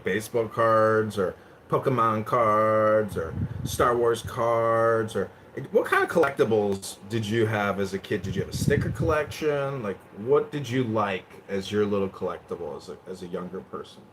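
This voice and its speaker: A man's voice: on the low side, expressive, moderate pace, background noise. Mocking, provocative male chat host. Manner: mocking, provocative, swings loud and quiet. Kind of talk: provocative roast on a live audio chat.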